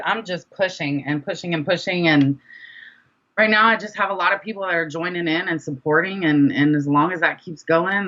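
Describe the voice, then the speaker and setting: A woman's voice: sits low, fairly bright tone, dull audio. A woman chatting casually as an interview guest, with an informal, slangy, enthusiastic manner. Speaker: interview guest, independent rapper.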